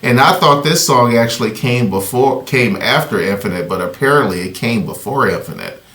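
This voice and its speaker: A man's voice: medium pitch, lively intonation, moderate pace, a bit bright. A man hosting a music reaction, talking casually and profanely, rambling with fillers. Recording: echoey room.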